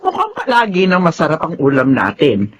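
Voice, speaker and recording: A woman's voice: deep voice, wildly swooping pitch, moderate pace, a little hiss or hum. A woman playing a chatty, pushy older household woman in a Filipino radio drama, with a Filipino accent. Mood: chatty, pleased.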